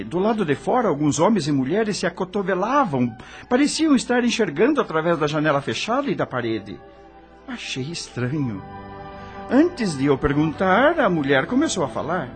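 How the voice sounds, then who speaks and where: Anguished male ghost, animated and high. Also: normal speed. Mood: anguished, haunted. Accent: Brazilian. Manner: dramatic, emotional delivery. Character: anguished male rock star's ghost, frightened and lost. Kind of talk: first-person radio drama narration. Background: background music.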